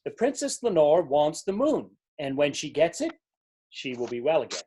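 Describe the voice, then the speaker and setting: A man's voice: on the high side, very animated, normal speed, warm tone, husky. A man playing a demanding, fretful king in a storybook readers theater, complaining and insistent. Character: petulant, demanding king, fretful and easily enraged.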